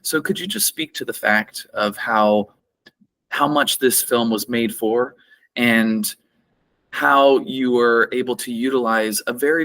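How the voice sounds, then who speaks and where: A man's voice: medium pitch, fairly bright tone. A man, a festival director, asking a filmmaker earnest, carefully built questions. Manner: earnest, repeats words while forming questions. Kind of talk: interview questions.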